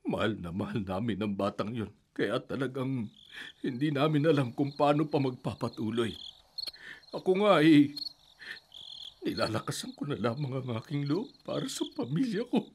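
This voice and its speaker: A man's voice: medium pitch, lively intonation, normal speed, a little hiss or hum, close to an everyday voice. A man playing a grieving middle-aged father who speaks in a somber, subdued way in a radio drama.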